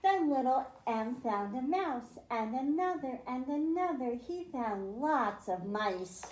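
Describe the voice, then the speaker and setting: Slow, high-voiced storytime librarian woman. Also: expressive, a little mellow, roomy sound, low-quality recording. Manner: slow, sing-song storytime reading with stressed m sounds. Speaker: children's librarian. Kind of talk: reading a picture book aloud to children. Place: library room.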